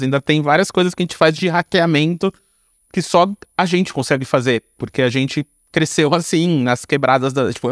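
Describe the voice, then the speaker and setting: A Brazilian man talks casually as an interview guest. His voice sits a little high for a man and is recorded very dry. He phrases things informally, with slang and frequent tag questions. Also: no room sound. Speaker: guest, screenwriter and filmmaker. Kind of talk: casual conversational interview answers.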